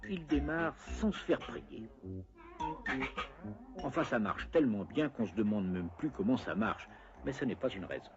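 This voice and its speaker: A man's voice: fairly high pitch, darkish tone, lo-fi sound. A man narrating a scripted educational cartoon in a wry, conversational way, explaining step by step with playful asides.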